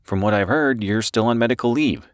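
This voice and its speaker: A man performs an audiobook reporter, nervous and eager to please. His voice is pushed up higher, with hurried, earnest, apologetic phrasing. The sound is close and very dry. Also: no echo, lightly acted. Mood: earnest, persuasive. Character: nervous, pleading male newspaper reporter on a phone call. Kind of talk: audiobook character dialogue.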